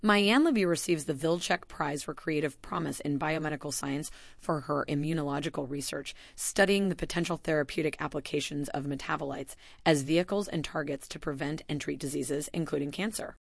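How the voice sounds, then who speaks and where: A woman narrating a scripted announcement in an even, formal read-aloud manner. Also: low-pitched, brisk, creaky, few pauses, no echo.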